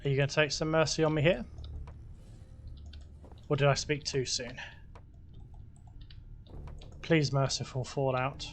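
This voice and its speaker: A man giving relaxed, casual gameplay commentary, talking to himself as he builds, his voice a little croaky. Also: on the high side, measured pace, long pauses, a little hiss or hum. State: slightly croaky voice. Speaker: gaming video host.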